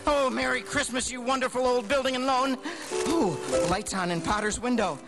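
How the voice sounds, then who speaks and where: High, excitable, frantic family man. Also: moderate pace. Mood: giddy elation. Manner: breathless, stammering, repeating himself excitedly. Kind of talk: live radio drama acting. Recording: live stage radio play, music behind.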